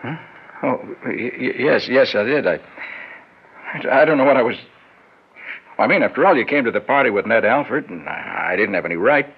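A man's voice: mid-range voice, lively intonation, normal speed, pauses often, breathy edge. Earnest, ambitious man in muffled old radio drama. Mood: flustered. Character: ambitious, earnest businessman recalling an awkward youthful romance. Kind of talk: old-time radio drama acting and narration. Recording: muffled, noisy vintage radio recording.

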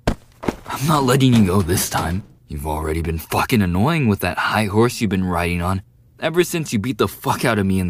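A man playing a brash, defensive young male bully with a desperate side, swearing and taunting in an audio roleplay. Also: fairly low pitch. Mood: defensive, angry. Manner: foul-mouthed, taunting, defensive talk to the listener. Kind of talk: boyfriend ASMR audio roleplay acting.

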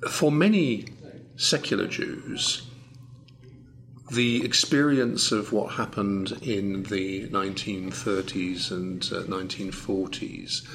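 A man's voice: lowish voice, fairly bright tone. A man interviewing a guest, asking probing questions with some hesitant starts. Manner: probing, hesitant lead-ins.

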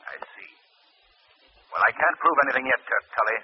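An actor playing a stern, taunting invisible crime fighter, deliberate and menacing, in old radio mystery style. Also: male voice, medium pitch, sing-song, slightly rough. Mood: accusing. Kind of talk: 1940s radio mystery acting. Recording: dull, noisy old radio recording.

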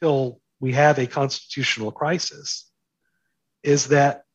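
A man's voice: mid-range voice, level tone, measured pace, slightly rough, dry recording. A man speaking as an interview guest, explaining his commentary with analogies, rhetorical questions and emphatic repetition.

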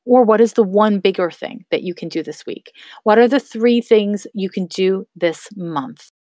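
A woman's voice: mid-range voice, normal speed, warm tone, dry recording. A woman in her thirties hosting a podcast, giving casual spiritual advice and encouraging her listeners, whom she calls her loves. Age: 30s. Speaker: podcast host. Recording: dry close recording.

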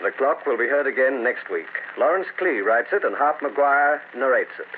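A man announcing credits in a brisk old time radio style. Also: medium pitch, animated. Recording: noisy narrowband vintage radio recording.

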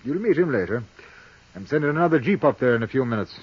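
An actor playing a gruff, breezy American aviation inspector in a 1950s radio drama, husky and slangy in a talkative, folksy way. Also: male voice, medium pitch, animated, fast talker, dry recording. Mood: brisk, matter-of-fact. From south side of Chicago, United States. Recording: muffled 1950s radio recording.

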